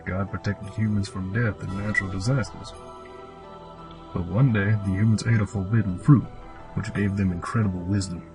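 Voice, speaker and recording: A man's voice: lowish voice, steady tone, normal speed, music in the background, natural voice. A man playing a cryptic old cave hermit and mechanic in an audio drama, with a solemn, old-fashioned storyteller's delivery. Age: older. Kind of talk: fan audio drama acting.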